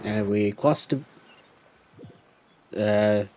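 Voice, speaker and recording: A woman's voice: a bass voice, extremely slow, a little mellow. A woman game streamer giving casual commentary as she plays.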